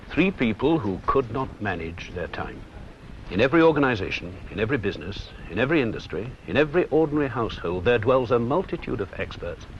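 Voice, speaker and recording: A muffled, noisy 16mm soundtrack carries a very deep male narrator. He delivers scripted corporate film narration with solemn, rhetorical emphasis and a lively rise and fall. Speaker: narrator and presenter.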